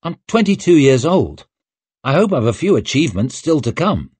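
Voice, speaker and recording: A man's voice: on the high side, very animated, normal speed, a little mellow, no room sound, voice pushed up. A man doing a blunt young soldier-lord. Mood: gruff. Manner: blunt, gruff.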